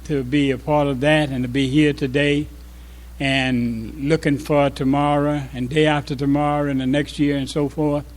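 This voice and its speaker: An older man, a former imam, speaks informally to a congregation, reminiscing in a halting, reflective way with pauses mid-sentence. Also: medium pitch. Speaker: former resident imam giving an address.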